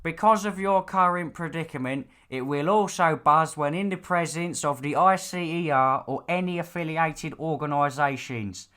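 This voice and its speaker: Man playing a stern nagging dad, clear voice. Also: on the high side, normal speed, few pauses, bright, forceful tone, pitched above the performer's own voice. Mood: bossy. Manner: lecturing, bossy. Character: stern, nagging, exasperated father of a demon slayer. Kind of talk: audiobook character dialogue.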